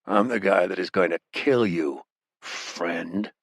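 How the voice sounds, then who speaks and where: A man's voice: low-pitched, normal speed, fairly bright tone, husky, no echo, studio quality. A man voicing an angry, defiant male captive in pain, speaking through clenched teeth in an audiobook. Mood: gritted defiance.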